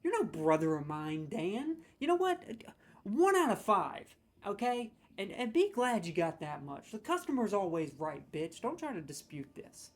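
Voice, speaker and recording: A man's voice: high voice, very animated, normal speed. A man hosting a comedic video, ranting sarcastically with mock outrage as he scores game voice lines.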